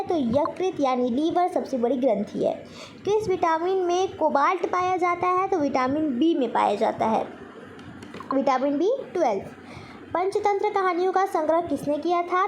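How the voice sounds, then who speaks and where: Indian lady teacher, really high voice, doing question and answer exam lesson, bit of background noise. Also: animated, moderate pace. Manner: instructional, asks each question then answers it, repeats key points. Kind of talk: general knowledge quiz lesson for exam students.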